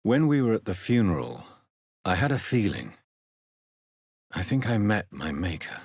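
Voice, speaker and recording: An actor playing a grave, courteous vampire doctor in a video game, with a British accent, a husky voice and formal, measured phrasing. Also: male voice, on the low side, very animated, no room sound, clean studio sound, full character voice. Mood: somber. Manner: formal, measured, polite, probing. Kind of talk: dramatic video game dialogue.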